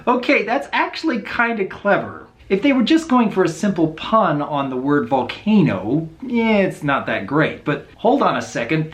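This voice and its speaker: A reviewer giving casual, wry commentary on animated episodes. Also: mid-range voice.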